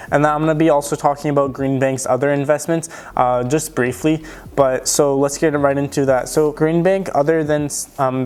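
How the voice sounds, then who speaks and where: A young man with a raspy voice from tonsillitis, casually explaining a stock pick to his channel's viewers with lots of fillers. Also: medium pitch, a little mellow. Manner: chatty, filler-heavy, enthusiastic explaining. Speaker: stock investing YouTuber. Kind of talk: casual stock analysis talk to camera.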